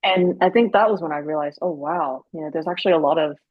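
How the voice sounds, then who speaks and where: Woman, from Hong Kong, low voice, breathy, curious chatty host, muffled dry sound. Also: fast talker, no echo. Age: young adult. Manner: chatty, curious, many fillers. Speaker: podcast host, psychologist. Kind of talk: casual interview chat.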